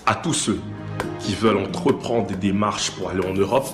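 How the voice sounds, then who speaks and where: A male announcer reads an advertisement slowly and steadily, his voice low. The sound is roomy, and loud guitar music plays beneath him. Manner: slow, measured delivery. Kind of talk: radio-style advertisement.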